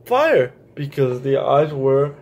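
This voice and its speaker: A man's voice: mid-range voice, sing-song, slow pace, darkish tone. A man hosting a children's story time, reading a picture book aloud and explaining it directly to young listeners.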